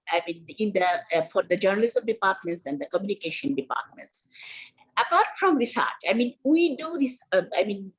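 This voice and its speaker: A woman's voice: on the high side, slightly rough, rough recording. A woman academic from Bangladesh speaking on a webinar panel over a video call, earnest and searching for words. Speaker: academic in media and gender studies.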